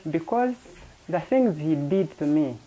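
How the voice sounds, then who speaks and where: A middle-aged Ugandan woman gives an interview answer in a living room. Her voice is soft-edged and mellow, with a Ugandan accent. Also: low voice, expressive, measured pace, low-quality recording. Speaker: interviewee, foster mother.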